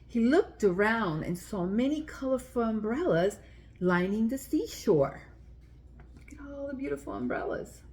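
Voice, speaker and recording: A woman's voice: fairly high pitch, very animated, a little slow, darkish tone, slight background noise. A woman hosting a children's story time, reading aloud and speaking directly to young listeners in simple, explanatory language.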